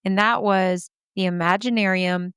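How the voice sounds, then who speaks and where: A woman in her thirties hosting a podcast, her voice hoarse and scratchy with vocal fry, rambling through self-deprecating asides. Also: medium pitch, fairly even intonation, normal speed, fairly bright tone, no echo, clean studio sound. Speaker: podcast host. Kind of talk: chatty podcast intro.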